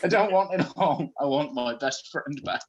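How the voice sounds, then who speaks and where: A man's voice: fairly high pitch, lively intonation, normal speed, lo-fi sound, lightly acted. A man voicing a desperate man in a comedy story, earnestly begging and bargaining for his best friend. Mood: pleading. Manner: earnest, imploring.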